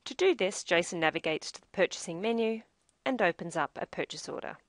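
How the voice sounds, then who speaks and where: Low-pitched woman narrating a tutorial, dry sound. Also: animated, fairly quick, no room sound. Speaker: software demo presenter. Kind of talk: scripted instructional narration.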